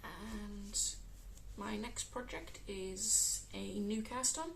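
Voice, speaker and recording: A woman with a West Midlands accent, hosting a casual crafting podcast, croaky from a cold and filling pauses with ums. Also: mid-range voice, steady tone, very noisy. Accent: West Midlands English. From England. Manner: chatty, many ums, unhurried.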